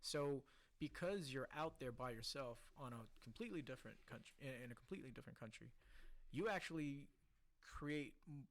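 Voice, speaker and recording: A man from the Philippines, a podcast guest, telling stories from his life in a lively, casual way and often checking in with "right?". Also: medium pitch, fairly quick. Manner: animated storyteller, keeps checking in with "right?". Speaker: podcast guest, casino host. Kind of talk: casual storytelling interview.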